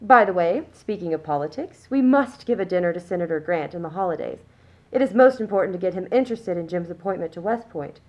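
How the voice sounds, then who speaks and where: A woman's voice: lowish voice, lively intonation, quick pace, darkish tone, lightly acted. A woman playing a smug, genteel middle-aged upper-class mother in a radio play, speaking in a prim, condescending way. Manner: prim, condescending, self-satisfied. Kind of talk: scripted radio play acting.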